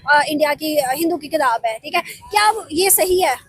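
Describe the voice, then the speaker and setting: A woman's voice: high-pitched, lively intonation, normal speed. A woman interviewer asking long, leading questions in a casual, conversational style.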